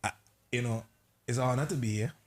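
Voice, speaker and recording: A man's voice: mid-range voice, quick pace. A man hosting a live morning show, talking casually and rambling, often repeating words and checking in with "you understand?".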